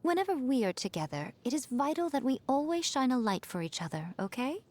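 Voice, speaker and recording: A woman voicing a sly, elegant fox shrine maiden for a video game, poised and coy, with a teasing, wryly condescending manner and drawn-out phrasing. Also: high voice, sing-song, darkish tone. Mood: grave, musing. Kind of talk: scripted video game character voice lines.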